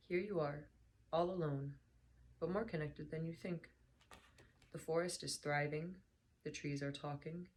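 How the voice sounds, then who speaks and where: Tired low-voiced woman with a hoarse, creaky voice. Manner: tired, soft and unhurried. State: hoarse, slightly lost voice. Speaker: book reader vlogger. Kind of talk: casual chat then reading aloud.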